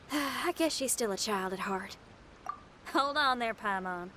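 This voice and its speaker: Young heroine for a video game: high, animated voice, casual, sensible, frank. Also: female voice, slow pace, a little hiss or hum, full character voice. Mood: cautious. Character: spirited, sensible young woman musician and adventurer. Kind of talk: video game character acting.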